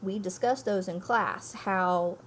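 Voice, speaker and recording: A woman's voice: mid-range voice, slow pace, slight background noise. A woman teacher giving a read-aloud lesson, speaking emphatically and thinking aloud for her young listeners. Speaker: elementary school teacher. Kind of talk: read-aloud lesson, storybook reading and explaining.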